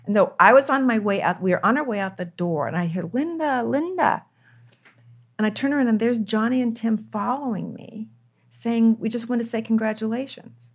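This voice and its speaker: A woman's voice: a little high, moderate pace, husky, dull audio. An older woman, a screenwriter, talking candidly in an interview, stressing her points by repeating words. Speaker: screenwriter guest.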